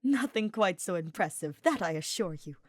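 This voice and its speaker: A woman's voice: on the low side, expressive, moderate pace, no echo, clean studio sound. A woman voicing a genial, noble male knight, formal, earnest and teasing, in a story reading.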